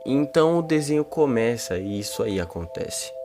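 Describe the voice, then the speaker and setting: A man's voice: medium pitch, expressive, moderate pace. A man with a Brazilian accent narrating and explaining a horror video in an informal way. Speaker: YouTube narrator. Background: music.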